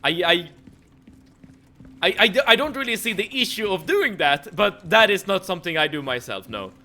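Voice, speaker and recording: High, bright-voiced streamer man. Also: big pitch swings, moderate pace. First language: Swedish. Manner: chatty, stammers and repeats words, laughs often. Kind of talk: casual chat while playing a game.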